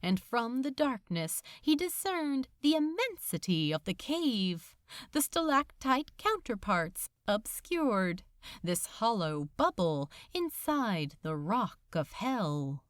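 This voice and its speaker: A woman reading scripted fiction as a narrator, in a measured, dramatic storytelling manner. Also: fairly high pitch, very animated, no echo, clean studio sound. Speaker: audiobook narrator.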